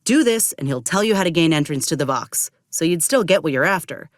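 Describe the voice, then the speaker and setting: A woman's voice: low-pitched, expressive, fairly quick, dry recording, clean studio sound. An actress playing an earnest, determined young woman soldier with folksy rural turns of phrase in a video game. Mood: matter-of-fact. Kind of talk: video game character dialogue.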